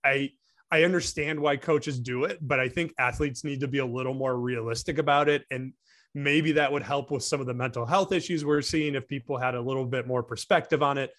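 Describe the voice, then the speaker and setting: A man's voice: medium pitch, a bit bright. A man co-hosting a sports podcast in easy, unscripted chat. He thinks aloud, often restarting sentences and leaning on fillers as he works through a point. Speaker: podcast co-host.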